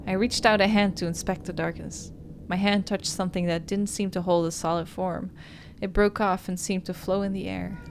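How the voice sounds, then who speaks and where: A woman's voice: medium pitch, fairly even intonation, normal speed, hardly any pauses, slight background noise. A woman hosting a game playthrough, reading story narration aloud with casual asides. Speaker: gaming host.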